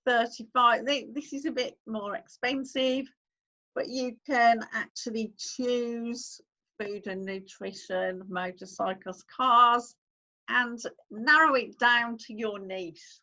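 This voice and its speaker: A woman's voice: on the high side, steady tone, slow, sharp tone, lo-fi sound. A woman, hosting a casual screen-share tutorial, chatting as she clicks through steps and reads on-screen text aloud. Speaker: online-income YouTuber host.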